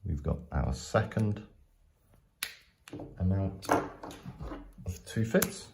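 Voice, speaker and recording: Deep, slow-talking male maths teacher. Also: animated, gravelly, heavy background noise. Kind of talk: step-by-step explainer lesson.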